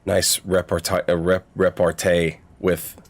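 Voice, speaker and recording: A man's voice: sits low, level tone, unhurried. A man chatting casually and profanely as he hosts a livestream of a game playthrough. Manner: profane, conversational. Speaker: game streamer and host.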